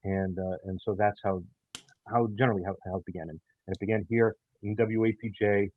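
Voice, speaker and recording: A man talking in an interview as the creator of an audio theater show, in a halting way that often repeats words and phrases. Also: fairly low pitch, fairly even intonation. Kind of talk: conversational interview talk. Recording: thin phone-like sound.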